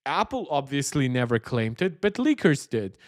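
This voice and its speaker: A man's voice: medium pitch, very animated, normal speed, dry recording, studio quality. A man hosting a tech livestream, chatting and giving opinionated reactions as he talks directly to his viewers. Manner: conversational, opinionated, talking to viewers. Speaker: tech channel host. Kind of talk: livestream chat and reaction commentary.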